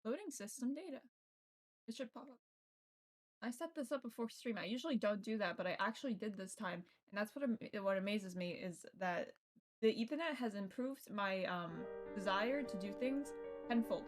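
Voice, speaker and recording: Young female game streamer, mid-pitched, informal and sweary chat, music in the background. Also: moderate pace. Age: young adult. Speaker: livestreamer. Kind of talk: casual chat to stream viewers while setting up a game. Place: indoors at home computer setup. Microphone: streaming mic with noise gate.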